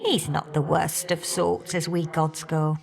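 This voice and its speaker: A woman's voice: low voice, level tone, a little slow, gravelly. A woman voicing an older goddess of the hearth in a video game, blunt, hot-tempered and affectionate, with a folksy, teasing, auntie-like way of talking. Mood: fond. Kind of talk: video game character dialogue.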